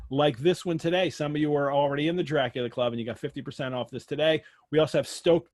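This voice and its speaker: A man's voice: medium pitch, no echo. An American man hosting a live online show, chatting through announcements and questions in a conversational way. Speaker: host of an online literary show. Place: remote online video call.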